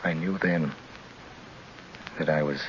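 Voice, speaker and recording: A man's voice: rumbling low, steady tone, slow pace, a bit bright, slight background noise, exaggerated voice. An actor playing a nervous, desperate young man driving alone, stammering and pleading, in a muffled 1940s radio drama recording. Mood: haunted. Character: haunted young male driver, nervous and desperate. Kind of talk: 1940s radio drama acting with first-person narration.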